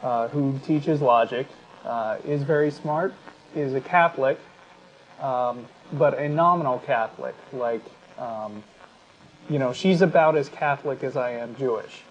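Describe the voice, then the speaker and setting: A man with an American accent speaks as a talk show host, making an argument conversationally and often pausing mid-sentence. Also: medium pitch, highs cut off, a little hiss or hum.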